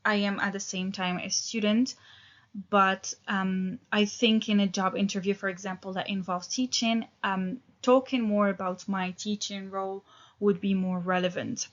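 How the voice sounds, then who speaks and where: A woman in her thirties teaching an English lesson, talking in a relaxed, hesitant way with many fillers and restarts. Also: mid-range voice, normal speed, dull audio. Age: 30s.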